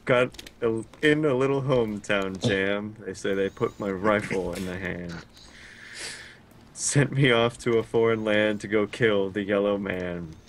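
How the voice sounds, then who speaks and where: A man's voice: on the low side, a little slow, a little hiss or hum. A man on voice chat reciting song lyrics as earnest, dramatic spoken poems. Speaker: gamer on voice chat.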